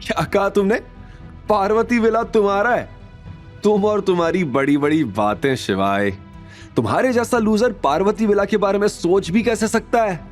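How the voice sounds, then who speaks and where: A man's voice: high voice, moderate pace, soft background music, voice pushed up, lightly acted. A man voicing an arrogant, mocking young rival in an audio drama, jeering and taunting as he talks. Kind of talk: Hindi audio drama acting.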